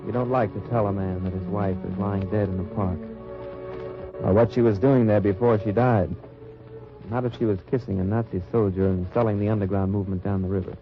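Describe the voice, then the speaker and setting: An actor playing a tough wartime undercover agent, narrating in clipped, hard-boiled lines in an old radio drama. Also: male voice, on the low side, fairly even intonation, fairly quick, music in the background, close to an everyday voice. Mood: grim. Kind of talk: 1950s radio drama acting. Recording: dull noisy old radio recording.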